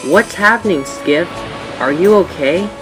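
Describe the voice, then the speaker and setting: Guy as a friendly talking train, caring, pretty high voice, bit of music behind. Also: animated, unhurried, rough recording. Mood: concerned. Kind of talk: amateur audio drama voice acting.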